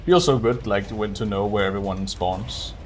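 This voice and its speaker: A man giving casual live commentary on a game as a streamer, explaining things in a low-key way. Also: normal speed, slight background noise. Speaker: streamer speedrunner. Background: game music.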